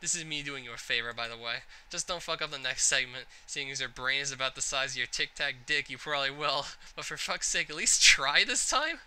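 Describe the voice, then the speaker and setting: Man as a forceful, exasperated, foul-mouthed game character. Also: mid-range voice, fairly quick, hardly any pauses, clean tone. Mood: angry contempt. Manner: exasperated, insulting, sweary.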